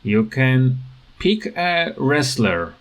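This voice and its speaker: A man in a casual rant over game footage, speaking with halting, non-native phrasing as a gaming video creator. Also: mid-range voice, sing-song.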